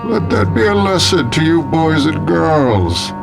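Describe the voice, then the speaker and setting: A man's voice: a little high, very animated, unhurried, vocal fry, over music, exaggerated voice. A man playing a menacing masked villain who tells a spooky story in a theatrical, pompous, scolding way. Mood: scolding, intense.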